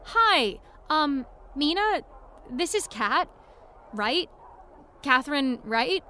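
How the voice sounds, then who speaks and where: A woman's voice: sits high, big pitch swings, very slow, bright, forceful tone, heavily acted. A young woman playing an earnest, anxious, apologetic teenage girl in an audio drama, hesitant and rambling. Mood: flustered, apologetic. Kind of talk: naturalistic audio drama acting.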